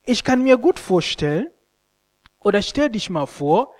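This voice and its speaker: A man, a Luganda speaker, preaching a sermon in an expressive, halting way and often stumbling over words. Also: high-pitched. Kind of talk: sermon with scripture reading and commentary.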